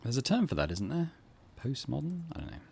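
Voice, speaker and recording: A man's voice: mid-range voice, huge pitch swings, brisk, gravelly, darkish tone, slightly rough. A man hosting a gaming channel, giving conversational, musing let's play commentary and reading game text aloud.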